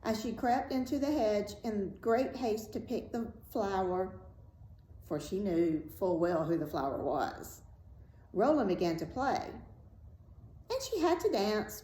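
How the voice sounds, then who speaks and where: A woman reading a fairy tale aloud as a storyteller, in a measured, dramatic and expressive way. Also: mid-range voice. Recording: roomy echo, faint background noise.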